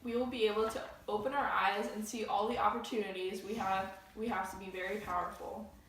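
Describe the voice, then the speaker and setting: A woman's voice: mid-range voice, fairly even intonation, fairly quick, fairly bright tone, lightly acted. Teen girl as earnest co-anchor, heavily echoing room. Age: teenager. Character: earnest female co-anchor in a newscast skit. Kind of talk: scripted newscast reading. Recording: very echoey room, distant mic, faint noise.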